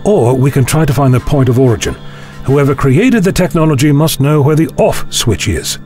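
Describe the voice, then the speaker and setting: A man acts out a calm, authoritative scientist-adventurer from a sci-fi audio drama. He explains things in deliberate, formal sentences at a medium pitch, with music running underneath. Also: lightly acted. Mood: decisive. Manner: measured, deliberate, formal phrasing. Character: learned, calmly authoritative male time-travelling scientist. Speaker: lead actor in a fan audio drama. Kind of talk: scripted science fiction audio drama acting.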